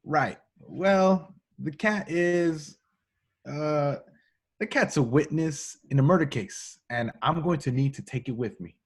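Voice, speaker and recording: A man's voice: fairly high pitch, slow pace, slightly rough, heavily acted. A man reading the part of a cocky, flirtatious agent in an amateur film table read, casual and swaggering. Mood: awkward, bluffing. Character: cocky flirtatious man, undercover agent posing as police sergeant. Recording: home remote table-read recording.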